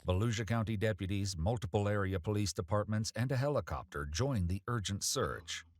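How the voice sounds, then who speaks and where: A man's voice: fairly low pitch, a little fast, no room sound, studio quality. A man reading a scripted true-crime narration about police footage as the narrator.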